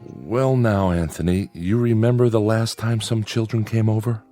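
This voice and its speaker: A man's voice: fairly low pitch, steady tone, normal speed, warm tone, soft background music, lightly acted. Man playing nervous, folksy American farmer dad. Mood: forced cheer. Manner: folksy, hesitant, overly agreeable. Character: nervous folksy farmer father placating his son. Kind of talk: radio drama acting.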